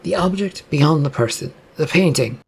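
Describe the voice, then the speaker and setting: A man playing a casual, wry male demon investigator in a story, with a halting, sardonic manner. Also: on the high side, animated, creaky, slight background noise. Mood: frustrated.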